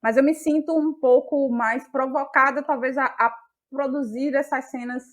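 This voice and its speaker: A woman with a Bahian Brazilian accent speaks in a fairly high voice. She talks as an interview guest, reflective and thoughtful, often pausing and restarting as she searches for the right word. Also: steady tone. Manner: thoughtful, halting, searching for words. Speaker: guest, playwright and actress.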